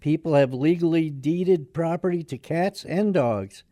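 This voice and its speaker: A man playing a wry mortgage man with a soft heart in a radio drama, speaking dryly and earnestly. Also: fairly high pitch, a little slow, no room sound, natural voice. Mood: persuasive.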